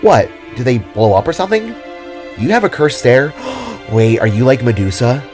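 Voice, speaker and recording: A man voicing a goofy, excitable, chatty young girl adventurer with playful, rambling speech in an audio drama. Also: medium pitch, normal speed, faint music behind, natural voice. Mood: curious, eager. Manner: playful, sarcastic, rambling with false starts.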